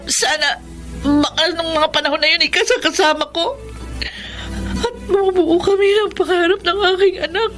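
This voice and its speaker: A woman's voice: extremely high pitch, moderate pace, music in the background. A woman playing a bitter, exasperated grown daughter in a Filipino-accented radio drama, emotional and resentful. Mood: grieving.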